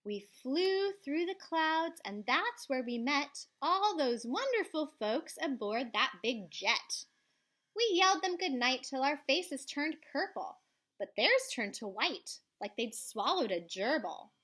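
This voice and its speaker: A woman reading a children's storybook aloud expressively, like a school librarian, with theatrical swells and hushed drops. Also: high-pitched, sing-song, slow pace.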